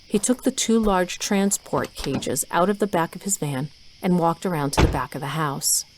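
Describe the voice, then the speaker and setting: A woman's voice: fairly low pitch, moderate pace. A woman reading scripted story narration for an audio drama. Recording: noisy ambient sound effects.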